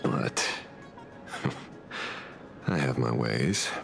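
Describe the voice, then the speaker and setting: A man's voice: very deep voice, animated, extremely slow, creaky, heavily acted. A man playing a sly, teasing veteran investigator in a video game, with a casual, wry and theatrical delivery. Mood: wry, suspicious. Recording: music behind the voice.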